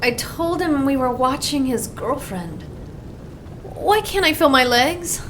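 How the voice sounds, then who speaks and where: Dead nun, played by a woman, high slow frightened voice, faint noise behind, audio drama. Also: animated, a crowd in the background. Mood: fading. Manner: frightened, fading. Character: dying nun raised from the dead.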